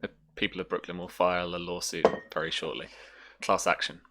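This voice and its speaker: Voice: deep voice, level tone, a little fast, very noisy. A game master casually hosting a tabletop roleplaying livestream in a chatty, teasing way.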